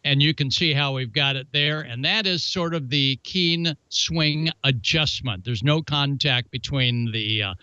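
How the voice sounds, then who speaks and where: Bright, forceful man, chatty show host. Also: on the high side, no echo, muffled recording. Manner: folksy, chatty, playful asides. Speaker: ham radio show host. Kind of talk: casual hands-on how-to demonstration with announcements.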